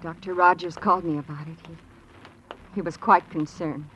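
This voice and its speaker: A woman's voice: fairly low pitch, fairly even intonation, normal speed, fairly bright tone, slight background noise, natural voice. Actress as hesitant, troubled radio drama woman. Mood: worried, hesitant. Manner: hesitant, earnest. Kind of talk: 1940s radio drama acting. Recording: muffled vintage radio recording.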